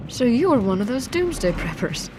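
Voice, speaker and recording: An actress playing an anxious, sharp-witted virologist in an audio drama, with a wary, wry, questioning manner. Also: female voice, a little high, very animated, normal speed. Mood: incredulous. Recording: noisy outdoor vehicle ambience.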